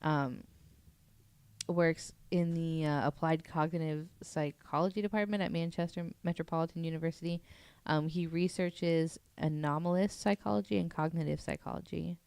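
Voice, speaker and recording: A woman's voice: sits low, steady tone, gravelly, darkish tone, smooth, dry recording. A woman co-hosting a podcast in casual chat, laughing often, using lots of fillers, and reading from an article. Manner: reads article aloud haltingly. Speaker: podcast co-host presenting research.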